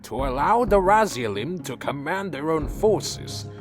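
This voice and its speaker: An actor playing a troubled, earnest vampire leader who speaks formally and solemnly in an audio drama. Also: male voice, fairly high pitch, big pitch swings, a little slow, music in the background. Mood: deferential, pleading. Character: earnest, troubled male vampire clan leader, proud yet deferential. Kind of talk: audio drama narration and dialogue.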